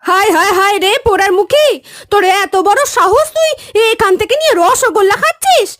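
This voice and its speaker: A cruel village stepmother from a cartoon story, acted by a woman. Her voice is piercingly high and very animated, scolding and threatening, with music playing behind it. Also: brisk, no echo, clean studio sound. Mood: furious.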